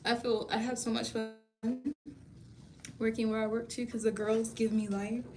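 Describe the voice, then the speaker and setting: A woman in her thirties chatting casually with viewers on a livestream, warm and talkative with frequent laughs, explaining beauty school and her outlook. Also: a little high, level tone, normal speed, over music. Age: 30s. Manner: chatty, laughs often, calls viewers baby.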